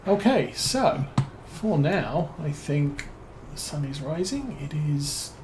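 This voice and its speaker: Slow-talking British man streaming a game. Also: on the high side, expressive, noisy background. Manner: unhurried, musing, thinks aloud with many fillers. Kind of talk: relaxed let's-play commentary.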